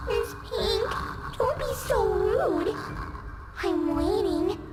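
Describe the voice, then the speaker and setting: A man's voice: very high-pitched, animated, very slow, pitched above the performer's own voice, exaggerated voice. A man voicing an eerie ghost girl in a horror game, taunting in a falsely sweet, sing-song way. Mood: menacing, impatient. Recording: game music behind the voice.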